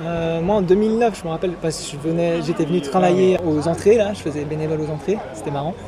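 A man from a band answering interview questions outdoors at a festival, hesitant and soft, often trailing off. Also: fairly high pitch, quick pace. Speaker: band member. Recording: loud festival music and crowd behind.

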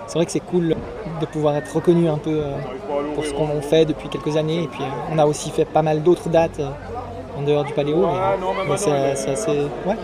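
A man from a band answering interview questions outdoors at a festival, hesitant and soft, often trailing off. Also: on the high side. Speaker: band member. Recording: loud festival music and crowd behind.

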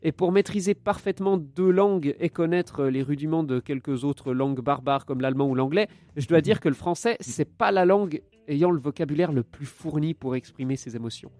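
Joking bilingual young man, fairly high voice. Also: a little fast, few pauses, warm tone, dry recording. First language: Italian, with French. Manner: joking, chatty, drifts into asides. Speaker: podcast co-host. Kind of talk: casual radio-show chat.

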